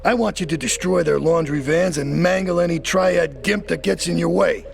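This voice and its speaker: An actor playing a hot-tempered mafia capo, gruff and profane. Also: male voice, fairly high pitch, moderate pace, music in the background.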